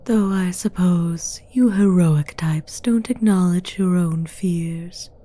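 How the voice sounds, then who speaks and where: A woman's voice: fairly low pitch, warm tone. A woman playing a menacing, mocking demoness queen in a whispered, condescending ASMR roleplay. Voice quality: breathy whisper. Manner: slow, deliberate, condescending.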